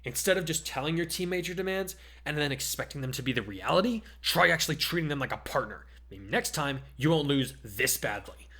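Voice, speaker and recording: A man's voice: a little high, expressive, fairly quick, hardly any pauses, sharp tone. Man acting a taunting teenage mock-villain boy. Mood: smug. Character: teenage boy hero-student playing a taunting, cocky villain. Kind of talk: dramatic fan fiction reading.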